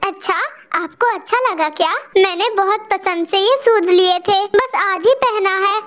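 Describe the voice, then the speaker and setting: A woman's voice: very high-pitched, unhurried, fairly bright tone. A woman voicing a sweet young wife sparrow in a Hindi cartoon story, polite and coaxing. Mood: earnest.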